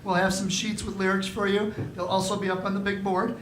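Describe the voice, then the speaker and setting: A man's voice: high voice, little pitch movement, brisk. A man giving a casual, upbeat curtain-call announcement to a theatre audience. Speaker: member of theatre company giving curtain speech. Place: small live theatre with audience. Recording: roomy hall, loud audience noise.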